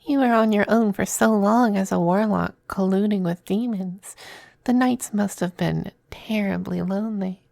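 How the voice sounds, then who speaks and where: A performer acting a smug, affectionate demon femboy in an intimate ASMR roleplay, teasing and coy, with breathy turns into whispers. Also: mid-range voice, a little mellow, no room sound, studio quality.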